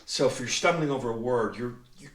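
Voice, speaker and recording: A man's voice: mid-range voice, a little fast. A man hosting a casual interview, talking conversationally and encouraging his guests. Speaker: interviewer, show host. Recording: echoey room, distant from mic.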